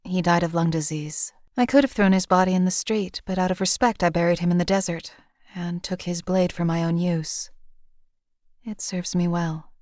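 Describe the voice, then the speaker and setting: A woman voicing a young female assassin in a game, speaking in a flat, clipped, unemotional way. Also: on the low side, moderate pace, no echo, clean studio sound, close to an everyday voice. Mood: cold. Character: cold detached female assassin, young adult. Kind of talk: game companion voice lines.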